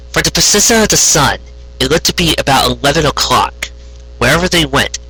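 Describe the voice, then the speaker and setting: A man narrating a scripted story aloud in a rough, husky voice. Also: medium pitch, sharp tone, rough recording. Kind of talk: scripted fan fiction narration.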